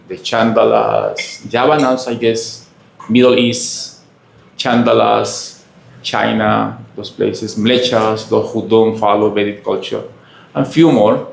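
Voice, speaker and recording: A man from Peru with a Peruvian Spanish accent, a native Spanish speaker, giving a halting spiritual lecture full of fillers and questions to the audience. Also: on the low side, creaky. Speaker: monk giving a talk. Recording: echoey room.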